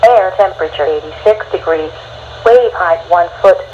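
A woman's voice: lowish voice, normal speed. Robotic american lady doing marine weather on the radio, dull sound, slight noise, taped off a speaker by phone. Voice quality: synthetic text-to-speech. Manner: formal, even recitation. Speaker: automated weather radio announcer. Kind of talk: scripted marine weather report. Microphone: phone held to a radio speaker.

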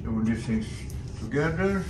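A man in his eighties from Sweden, a solo sailor explaining his boatbuilding in a casual, halting, plain-spoken vlog in his workshop. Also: medium pitch, expressive, very noisy. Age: 80s. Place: large indoor boat workshop.

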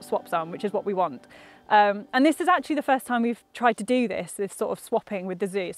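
A young woman explaining conservation fieldwork outdoors in a pine forest, chatty and enthusiastic, on a lapel mic. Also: medium pitch. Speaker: conservation project officer. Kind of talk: casual explanation on location.

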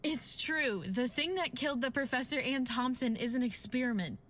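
A woman's voice: high voice, normal speed, a bit bright. A woman playing a sober, earnest female doctor in a 1940s-style radio drama. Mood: grave, pleading. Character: grave, tense female doctor and scientist.

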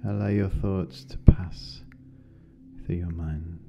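Very slow, deep-voiced meditation guide. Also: steady tone, creaky. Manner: gentle, unhurried, with long pauses. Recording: dull lo-fi sound, music behind. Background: meditation music.

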